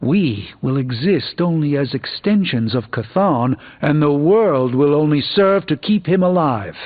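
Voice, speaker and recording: An actor playing a learned sorcerer mentor in a video game, speaking in a grave, measured, formal way. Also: male voice, a little high, animated. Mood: grave, explanatory. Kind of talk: video game character dialogue. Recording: dull band-limited game audio, music behind.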